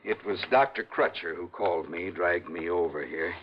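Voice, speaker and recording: A man's voice: low voice, moderate pace, lightly acted. A man playing a serious, businesslike insurance man in 1950s radio drama style. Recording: muffled, noisy vintage radio recording.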